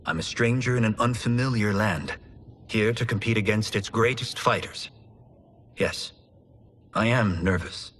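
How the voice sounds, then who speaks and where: A man's voice: lowish voice, a little slow, long pauses, a bit bright, a little hiss or hum. An actor playing an earnest, humble young male fighter in a video game cutscene, polite and nervous. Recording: music underneath.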